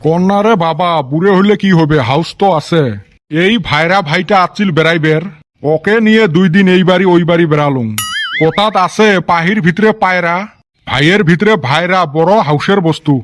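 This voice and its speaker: High-voiced grumbling village man acted by a man. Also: a little fast, close to an everyday voice. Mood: wry, grumbling. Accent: northern Bangladeshi Rangpuri. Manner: complaining, sarcastic. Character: grumbling, hard-up village husband and tea seller. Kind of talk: comedy cartoon voice acting in rural dialect.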